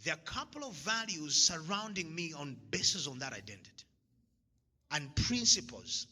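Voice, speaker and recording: A man's voice: fairly high pitch, very animated, moderate pace, bright, forceful tone, breathy edge. A man from Zambia giving a motivational talk to an audience, emphatic and repeating his key lines, often laughing at his own jokes. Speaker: motivational speaker. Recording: long hall reverb.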